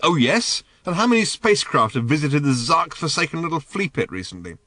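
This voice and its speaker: A man's voice: on the high side, big pitch swings, a little fast, bright, forceful tone, no room sound, studio quality, voice pushed up, exaggerated voice. A man doing a curt, impatient alien. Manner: clipped, curt, urgent. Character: blunt, impatient alien man, self-important.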